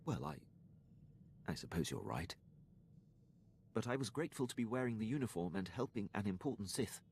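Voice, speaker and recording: An actor playing a formal, dutiful imperial starship captain in a video game, with stiff, deferential and precise military diction. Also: male voice, lowish voice, very animated, fairly quick, a little mellow, a little hiss or hum. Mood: humble, loyal. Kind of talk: scripted video game dialogue.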